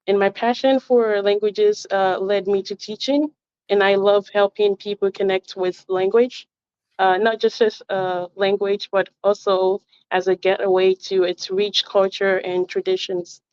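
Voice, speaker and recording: A woman's voice: medium pitch, level tone, measured pace, a little mellow, smooth, no echo. A woman, a language tutor answering interview questions earnestly and carefully, with frequent fillers. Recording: dull, muffled audio.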